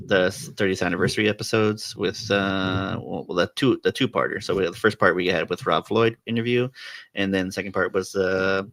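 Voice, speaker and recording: A man's voice: low-pitched, steady tone, a little fast, fairly bright tone, no echo. A man hosting a casual podcast, rambling through a TV episode with lots of fillers and restarts. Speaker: podcast host. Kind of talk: casual chat about a TV episode.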